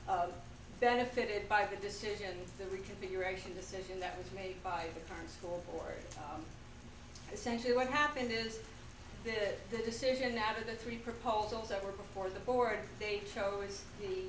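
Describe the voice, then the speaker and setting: A woman in her thirties giving an earnest public comment at a town meeting, with some halting fillers. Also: medium pitch. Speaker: school board candidate. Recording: echoey room from far off, noisy.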